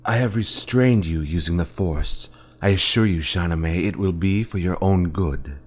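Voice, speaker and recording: A man playing a grave, manipulative fallen Jedi master in a video game, speaking in a solemn, deliberate, grandiose way. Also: sits low. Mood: calm, controlling. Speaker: game character voice actor. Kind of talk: dramatic scripted game dialogue.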